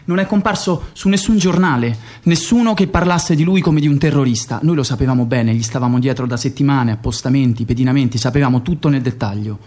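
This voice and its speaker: An actor playing a self-justifying secret agent in radio theatre, with clipped, declarative testimony. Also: male voice, medium pitch, quick pace, few pauses, a little mellow, close to an everyday voice. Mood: scornful, justifying.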